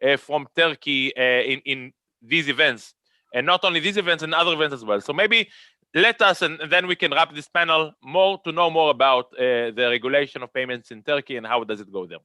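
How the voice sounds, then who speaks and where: A man's voice: on the high side, brisk, pushed and bright, no echo. A man with an Israeli accent moderating a virtual conference panel, chatty and rambling, often repeating words.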